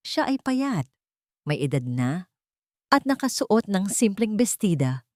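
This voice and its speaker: A woman's voice: medium pitch, very animated, slow pace, no room sound, clean studio sound. A woman with a Filipino accent reading scripted audiobook narration as a storyteller.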